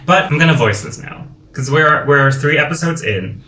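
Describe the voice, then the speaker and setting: A man from Friesland whose first language is Frisian, co-hosting a casual review chat and critiquing looks in an opinionated, measured way. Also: a little high, expressive, pushed and bright, far from the mic. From Friesland, Netherlands. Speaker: podcast co-host. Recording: echoey room, away from mic.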